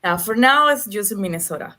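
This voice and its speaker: A woman from Venezuela with a Venezuelan Spanish accent, a native Spanish speaker, talking as a guest on an online panel over a video call, earnest and halting in her phrasing. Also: medium pitch, animated, sharp tone. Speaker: nonprofit leader, guest.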